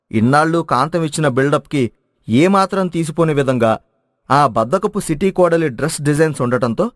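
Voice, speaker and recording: A man's voice: a little high, no echo, clean studio sound. A man narrating a scripted moral story as its storyteller.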